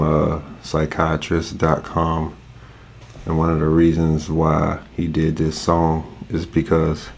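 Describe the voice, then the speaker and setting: A man's voice: extremely low pitch, gravelly, husky. A man hosting a reaction video in a loose, casual way, with a stuffed-up voice from allergies. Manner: relaxed, loose, with frequent fillers.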